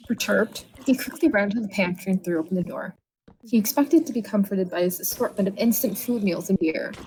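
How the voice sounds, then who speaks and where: Woman, medium pitch, dramatic narrator of short horror-comedy bedtime stories. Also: darkish tone, a little hiss or hum, low-quality recording. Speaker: storyteller reading a written tale aloud.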